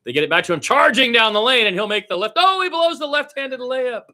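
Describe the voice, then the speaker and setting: A man calling basketball as a partisan radio play-by-play announcer, blunt and opinionated, animated in his delivery and repeating phrases for emphasis. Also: fairly quick. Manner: animated, swinging from low asides to loud high calls.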